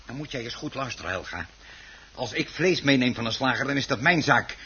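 An actor playing a stubborn, obsessive hobby gardener and exasperated husband in a radio play, with a defensive, insistent way of talking. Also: male voice, mid-range voice, animated, fairly quick, bright, forceful tone, husky, heavily acted. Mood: indignant, insistent. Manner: defensive, insistent, muttering to himself when alone. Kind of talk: Dutch radio play acting. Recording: dull, noisy old radio recording.

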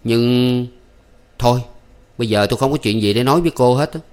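Reader doing a shy lovesick farmhand guy, southern vietnamese, raised pitch, pleading and kinda sulky, storybook. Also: a little fast, a little mellow, clear, resonant tone, natural voice. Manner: hesitant, plaintive.

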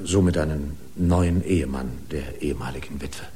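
An actor playing a formal company lawyer who picks his words awkwardly and haltingly in a German radio play. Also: very deep voice, dark tone, a little hiss or hum. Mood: hesitant.

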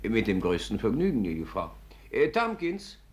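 A man playing an irritable, dryly sarcastic English gentleman in a 1950s German radio play, stiffly courteous and clipped. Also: lowish voice, wildly swooping pitch, normal speed, breathy edge, full character voice. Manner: clipped, dry, formal.

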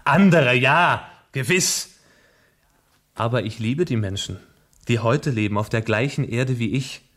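Principled young-hearted idealist rebel in a radio drama, medium pitch, earnest careful diction. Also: male voice, a bit bright. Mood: earnest conviction. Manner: earnest, deliberate stage diction. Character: idealistic male revolutionary, principled, earnest, proud. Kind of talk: dramatic radio play acting.

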